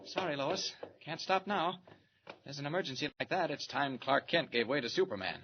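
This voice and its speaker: An actor playing a calm, brave male reporter who is secretly a superhero, in 1940s radio acting. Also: on the high side, muffled recording. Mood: resolute. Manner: calm, reassuring, brisk. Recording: hissy vintage radio broadcast.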